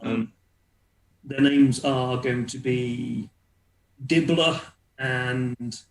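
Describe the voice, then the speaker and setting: Creaky-voiced man running a tabletop game. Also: mid-range voice, fairly even intonation, extremely slow, echoey room, low-quality recording. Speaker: game master. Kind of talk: casual narration and rules talk for a tabletop role-playing game.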